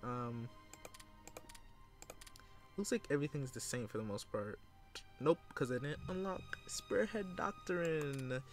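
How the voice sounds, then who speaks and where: A man's voice: mid-range voice, expressive, slow, long pauses, faint music behind. A man giving casual let's play commentary, rambling and thinking aloud with casual swearing. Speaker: gamer recording a let's play. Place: indoors at a computer.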